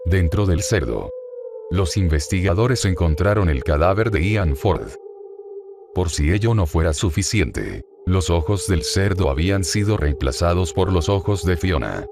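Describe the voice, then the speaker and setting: A man narrating a scripted true-crime horror story in a grave, measured, formal manner. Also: sits low, lively intonation, gravelly.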